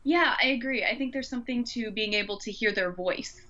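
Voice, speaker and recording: A woman's voice: on the high side, brisk, pushed and bright. A woman co-hosting a podcast in an informal chat, thinking aloud, on slightly dull audio. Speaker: podcast co-host.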